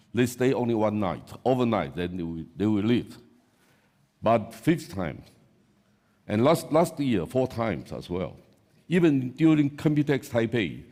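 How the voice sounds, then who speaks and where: A man's voice: mid-range voice, dark tone. A man from Taiwan with a Taiwanese accent, giving an unscripted conference talk as an analyst, in short emphatic non-native English phrases, recorded in an echoey hall. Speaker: industry analyst, panel speaker.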